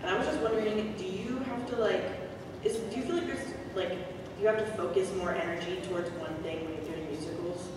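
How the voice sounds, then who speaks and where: A woman in an audience asking a question in a casual, halting, rambling way. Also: on the low side, fairly even intonation. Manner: halting, informal. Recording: noisy echoey hall from far off.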